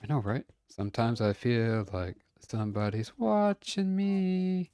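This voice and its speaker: A man streaming a game, chatting casually with friends, laughing often and greeting viewers brightly. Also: medium pitch, lively intonation, a little slow, a little hiss or hum. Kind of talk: casual livestream chat.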